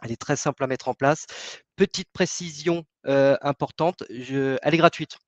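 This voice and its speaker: A man's voice: mid-range voice, normal speed, no room sound, muffled recording. A man giving a product presentation in a webinar, hesitant, with many fillers and restarts.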